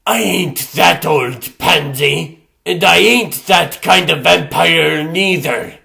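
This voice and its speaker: A man's voice: fairly high pitch, slow pace, vocal fry, sharp tone, soft background music, exaggerated voice. A man voicing a brash, hungry vampire thug in a comic dub, with taunting, slangy talk. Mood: loud taunting. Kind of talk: comic dub character acting.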